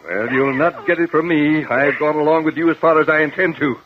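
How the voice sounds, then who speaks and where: An actor playing a stern older judge and strict uncle, commanding and scolding, in a 1950 radio drama. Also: male voice, a little high, fairly quick, slight background noise, close to an everyday voice. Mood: stern, indignant. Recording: muffled vintage 1950 radio recording.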